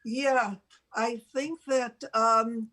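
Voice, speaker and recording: Older female co-host, slightly high voice, unhurried and halting, casual unscripted chat. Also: steady tone, pushed and bright, poor audio. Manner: halting, pausing mid-sentence. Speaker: show co-host.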